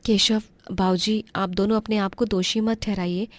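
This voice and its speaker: A woman voicing a kind, resourceful young married woman in a cartoon story, polite and expressive. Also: mid-range voice, moderate pace, no room sound, lightly acted. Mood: reassuring.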